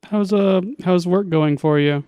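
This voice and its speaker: Higher-pitched casual man, dry close sound. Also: unhurried, warm tone, clear, resonant tone, dry recording. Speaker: podcast co-host. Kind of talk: casual chat.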